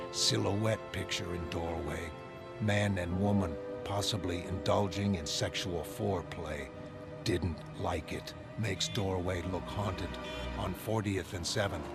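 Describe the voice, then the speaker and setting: Grim masked vigilante journal monologue, deep gravelly growl, terse lines, dark comic drama. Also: male voice. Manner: clipped, terse, monotone sentences. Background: loud music.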